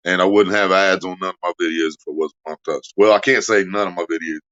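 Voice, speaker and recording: Man hosting a livestream, low voice, crude jokey chatter, muffled lo-fi sound. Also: steady tone, fast talker, a bit bright. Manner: crude joking, reads donations aloud. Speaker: livestream host. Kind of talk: casual livestream chat.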